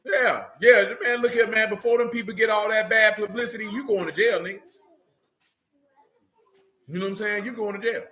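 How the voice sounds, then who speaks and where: A man's voice: sits high, steady tone, moderate pace, long pauses, bright, forceful tone. A man with speech teaching a religious group informally, emphatic and streetwise, often checking in with his listeners.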